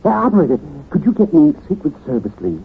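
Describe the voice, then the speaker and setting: A fluttery, high-voiced bookshop owner. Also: male voice, huge pitch swings, fast talker, gravelly, heavily acted. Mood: excited. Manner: fluttery, chuckling, repeats himself. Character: fussy, excitable bookstore owner who adores mystery stories. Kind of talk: 1940s radio acting. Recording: tinny, hissy old radio broadcast.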